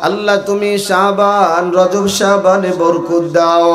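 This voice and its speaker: A male Islamic preacher with a Bangladeshi accent delivers a sing-song sermon. His voice sits high and moves unhurriedly, stretching out his calls to listeners. Strong echo surrounds it. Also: level tone, a bit bright, faint music behind. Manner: chanting, drawn-out exhortation. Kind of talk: melodic sermon with storytelling.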